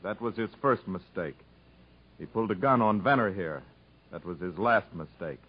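An actor playing a hard, authoritative federal agent with clipped speech in a 1950s radio drama. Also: male voice, sits low, expressive, moderate pace, husky. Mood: stern. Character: hard, curt federal revenue agent, male, commanding. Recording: muffled 1950s radio broadcast recording.

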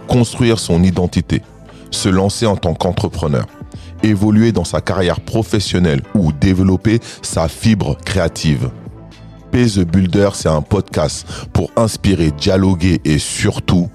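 A man hosting a podcast, reading his intro and then asking relaxed, brief questions. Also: sits low, unhurried, faint music behind. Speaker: podcast host. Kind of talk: scripted podcast intro, then casual interview.

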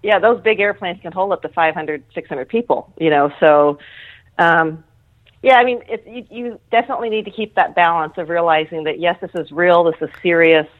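A woman's voice: fairly low pitch, moderate pace. A middle-aged woman being interviewed, explaining earnestly and informally and often rephrasing as she goes. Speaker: interview guest, air traffic control manager. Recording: thin phone-like line.

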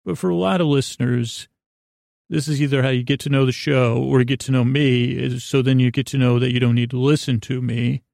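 Creaky-voiced, meandering male podcast host. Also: medium pitch, steady tone, darkish tone, no echo, studio quality. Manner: halting, digressive, self-deprecating, goofy. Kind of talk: meandering, rambling monologue for a bedtime podcast.

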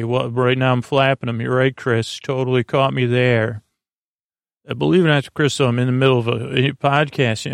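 Creaky-voiced man rambling gently at bedtime. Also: medium pitch, no echo, clean studio sound. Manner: meandering, halting, repetitive, goofy. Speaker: podcast host.

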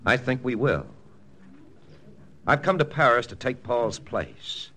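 An actor playing a confident American army intelligence lieutenant in a 1940s radio drama, brisk, persuasive and matter-of-fact. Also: male voice, mid-range voice, expressive, fairly bright tone. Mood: persuasive, firm. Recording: old radio broadcast with music.

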